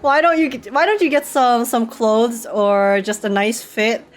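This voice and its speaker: Expressive higher-pitched woman reacting live. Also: unhurried. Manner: expressive, slangy, repeats phrases for emphasis. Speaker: streamer reacting to a game story. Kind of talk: casual live reaction commentary to chat. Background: game music and dialogue.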